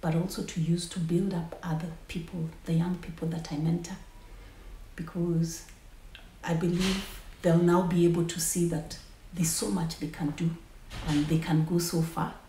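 A woman's voice: lowish voice, level tone, normal speed, warm tone. A woman speaking earnestly and reflectively in an interview, recorded indoors in an echoey room. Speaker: researcher.

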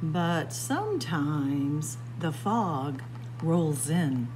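A woman hosting a story time, reading a picture book to young children in a sing-song way with drawn-out phrases and dramatic pauses. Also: deep voice, sing-song, music in the background. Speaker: library story time host. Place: indoors among library bookshelves.

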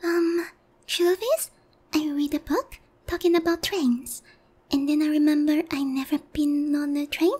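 A woman's voice: high-pitched, level tone, a little slow, a little mellow. A woman playing a naive, sheltered young harpy noblewoman in a roleplay ASMR, polite, hesitant and earnest in a girlish way. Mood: apologetic, pleading.

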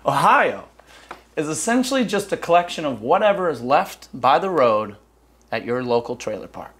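A male anchor in his thirties reads parody news in a dry, deadpan newsreader manner. His pitch swings widely as he leans into each punchline. He is recorded close on a lapel mic in a small studio. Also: normal speed. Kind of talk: mock news broadcast.

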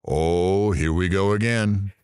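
A man's voice: low voice, slow, a little mellow, clean tone, no echo, clean studio sound. A man playing a sarcastic, irreverent talk radio host in a comedic audio drama, with showy DJ patter. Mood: mocking.

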